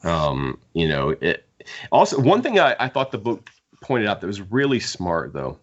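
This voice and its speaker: Very deep-voiced man, casual book podcaster. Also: huge pitch swings, moderate pace, dull audio. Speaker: podcast co-host discussing books. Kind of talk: casual opinionated book discussion.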